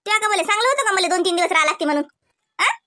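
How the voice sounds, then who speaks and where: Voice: very high voice, lively intonation, very fast, bright, forceful tone, slight background noise, full character voice. A performer voicing a nosy, suspicious village aunt in a comedy cartoon, nagging with pointed questions in a rural Vidarbha accent. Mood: indignant. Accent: rural Maharashtra, Vidarbha.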